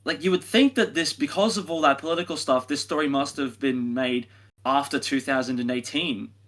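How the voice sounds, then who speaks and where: Bright-voiced man, emphatic podcast co-host. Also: medium pitch, moderate pace. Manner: emphatic, sarcastic, sweary. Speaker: podcast co-host reviewing audio dramas. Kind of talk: casual opinionated discussion.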